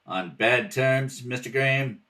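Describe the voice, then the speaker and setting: A man's voice: mid-range voice, unhurried, pushed and bright. A man playing a blunt, brusque police lieutenant interrogating suspects in an old-time radio detective play. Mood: probing. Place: home kitchen table. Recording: distant home rehearsal recording.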